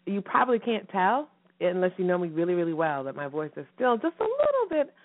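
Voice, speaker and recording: A woman hosting a radio show in a lively, chatty way, her voice slightly hoarse and raspy from a recent cough. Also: lowish voice, fairly quick. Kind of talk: casual radio chat. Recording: phone-line call-in radio.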